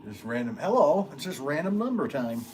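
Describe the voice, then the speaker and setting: A man's voice: a little high, very animated, moderate pace, a little mellow, a little hiss or hum, low-quality recording. A man potter hosting a casual livestream from his studio, rambling through viewer comments with lots of ums and easy laughs. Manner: rambling, chatty, laughs often, many ums.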